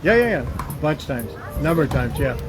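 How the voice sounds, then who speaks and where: A man hosting a casual walking live stream outdoors, chatting with strangers in a teasing, quick-questioning way. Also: a little high, sing-song, music in the background. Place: outdoors in a busy city park.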